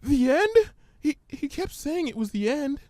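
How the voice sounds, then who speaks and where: A man's voice: very high voice, very animated, a little slow, a little mellow, dry recording, clean studio sound, pitched above the performer's own voice, full character voice. A man voicing a timid, apologetic young female cartoon pony, meek and hesitant with a stammer. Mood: anxious, doubtful.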